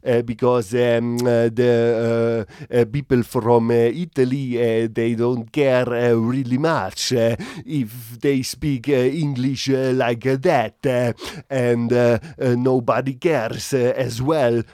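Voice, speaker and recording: Male comic as stilted German speaker of English, slow and halting, thick with hesitations, dry sound. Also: medium pitch, level tone, dark tone, clear, resonant tone, no room sound, close to an everyday voice. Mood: halting, indifferent. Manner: halting, over-deliberate, many hesitations.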